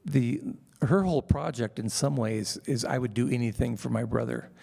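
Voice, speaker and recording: A man interviewing an author onstage, speaking in a measured, admiring way. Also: mid-range voice, gravelly, mellow, breathy edge. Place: live event hall with audience.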